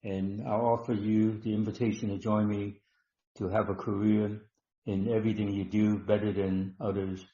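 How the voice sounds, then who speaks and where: A man hosting a live online healing session, talking slowly and instructively, repeating words with many ums. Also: on the low side, flat delivery, dark tone. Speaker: webinar host and healer. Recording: dull roomy online call audio.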